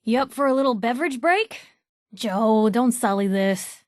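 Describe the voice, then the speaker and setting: A woman voicing a sharp-witted, idealistic young female reporter companion in a video game, wry and conversational. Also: on the high side, lively intonation, moderate pace, no room sound, studio quality, lightly acted. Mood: wry, teasing.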